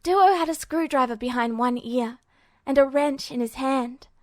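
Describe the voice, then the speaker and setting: A woman with a fairly high voice narrates a story from a script. She reads as an audiobook-style narrator in a close, dry recording. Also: normal speed, darkish tone, no echo.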